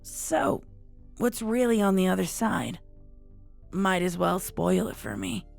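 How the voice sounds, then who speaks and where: A woman playing a blunt, sardonic young dead pony with a gravelly edge in a fan audio drama. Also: medium pitch, takes it slowly, faint music behind. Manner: sarcastic, blunt.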